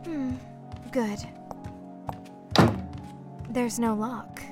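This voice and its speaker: A woman acting in an audio drama as a menacing mermaid, cool and aloof, with a formal, deadpan delivery. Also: on the high side, drawn-out words, loud music behind the voice. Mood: coolly seductive.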